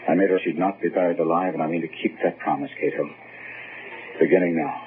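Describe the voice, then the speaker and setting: A man playing a stubborn, anxious gentleman in a gothic radio drama, speaking with urgent, commanding theatricality. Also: deep voice, steady tone, quick pace, lightly acted. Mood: grimly resolute. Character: resolute gentleman keeping watch, stubborn and anxious. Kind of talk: old-fashioned radio drama acting. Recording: muffled, noisy phone-like radio recording.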